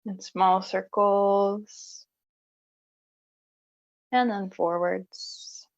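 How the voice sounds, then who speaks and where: A woman yoga instructor guiding relaxation in a calm, soothing, unhurried way with long pauses, in a slightly dull recording. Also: mid-range voice, vocal fry.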